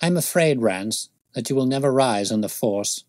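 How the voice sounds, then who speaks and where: A man voicing a brilliant, impatient gentleman detective in an audiobook story, speaking in a brisk, commanding, articulate way. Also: mid-range voice, lively intonation, no echo, clean studio sound, pitched above the performer's own voice, natural voice. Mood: scornful.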